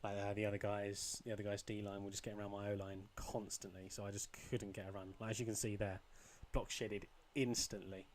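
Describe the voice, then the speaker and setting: A young man with a slightly croaky voice, casually commentating his own football video game match, rambling with ums and restarts. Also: fairly low pitch, little pitch movement, fairly quick, hardly any pauses, slight background noise. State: a bit croaky. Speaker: gaming channel host.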